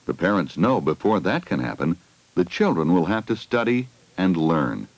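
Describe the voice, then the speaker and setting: A man's voice: low-pitched, big pitch swings, moderate pace, dry recording. A man reading a scripted educational film narration for children, putting simple questions to them, on a 1980 film soundtrack.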